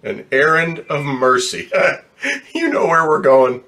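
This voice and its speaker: A man playing a bitter, streetwise, wisecracking ambulance driver in old-time radio style, slangy and gruff. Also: a little high, measured pace, bright, forceful tone, a little hiss or hum. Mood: scornful, impatient.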